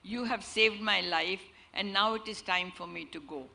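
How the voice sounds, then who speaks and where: Soft polite little blackbird, as a woman tells it. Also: medium pitch, moderate pace, creaky. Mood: gentle, solemn. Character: small gentle female blackbird, polite and wise.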